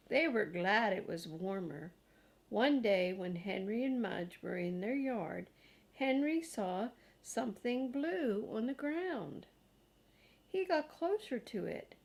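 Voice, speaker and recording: A woman's voice: mid-range voice, vocal fry. An older woman reading a children's storybook aloud as a grandmother, expressively and with casual asides.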